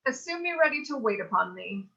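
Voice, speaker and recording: A woman's voice: fairly high pitch, big pitch swings, fairly quick, creaky, fairly bright tone, heavily acted. A woman playing an anxious, bookish teenage girl in a mock-Shakespearean staged reading, speaking earnestly in theatrical archaic language. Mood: resigned. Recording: dull lo-fi sound.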